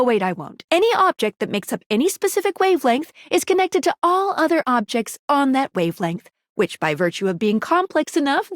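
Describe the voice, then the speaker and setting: A chatty, upbeat sentient gadget guiding the player through a video game. The voice sits high and swings around in lively fashion, playful and nerdy as it explains things. It is a dry, close studio sound. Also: female voice, no echo. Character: chatty quirky female sentient object guide, upbeat and nerdy. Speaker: game guide character. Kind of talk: scripted video game character dialogue.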